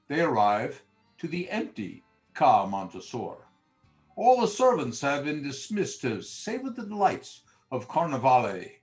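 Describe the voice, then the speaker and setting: A man narrating a period tale as a scripted dramatic storyteller. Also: on the high side.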